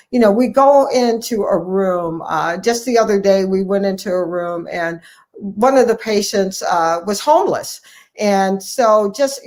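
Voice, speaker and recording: A woman who works as a family physician talks as an interview guest. Her delivery is conversational and earnest. She stresses her points firmly, often saying a phrase again for emphasis. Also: medium pitch. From United States, Ohio.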